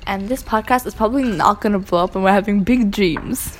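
A woman's voice: medium pitch, fairly quick, slight background noise. A young woman co-hosting a casual podcast, chatty and giggly as she tells stories. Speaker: podcast co-host. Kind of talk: casual chat. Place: indoors by windows with birds outside.

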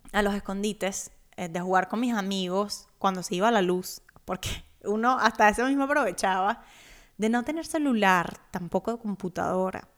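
A woman's voice: mid-range voice, fairly bright tone. A young woman from Cuba hosting her own finance podcast, telling her story in an upbeat, personal way. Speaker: podcast host.

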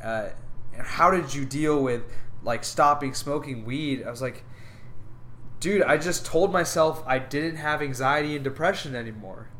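A man's voice: a little high, normal speed, a bit bright, slight background noise. A young man co-hosting a casual podcast, talking in an earnest, rambling, upbeat way. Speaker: podcast co-host. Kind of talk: casual chat.

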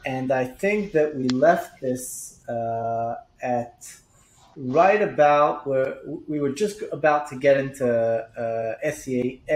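A host with an Israeli accent talks in a halting way, often stopping and restarting mid-thought. The pitch sits in a middle range. The sound carries a roomy echo. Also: a little mellow, a little hiss or hum. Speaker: podcast host. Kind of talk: casual interview chat.

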